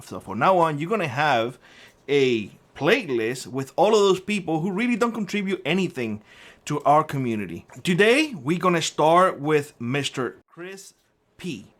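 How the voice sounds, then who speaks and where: A man's voice: fairly high pitch, very animated, moderate pace, fairly bright tone. A middle-aged man from Cuba with a Cuban Spanish accent, hosting a prepper vlog and ranting combatively at a commenter, with frequent swearing. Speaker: prepper channel host.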